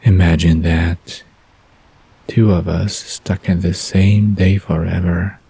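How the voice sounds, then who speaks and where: A man playing a smirking, playful young man, smooth and conspiratorial, in a romantic bedtime audio drama. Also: deep voice, level tone, slow pace, dark tone, exaggerated voice. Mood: amused, teasing.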